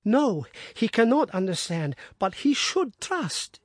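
A distraught young wife, as a man puts her on, pleading in a high, wavering voice that swings widely in pitch. The voice is husky and the room is dry. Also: very animated, slow, no echo, exaggerated voice. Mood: anguished.

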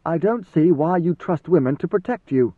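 A man's voice: fairly high pitch, lively intonation, normal speed, voice pushed up. A man voicing a proud male warrior who is blunt, wary and full of challenging questions, in an audiobook reading. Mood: earnest, questioning. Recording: slightly roomy, phone-like narrow sound.

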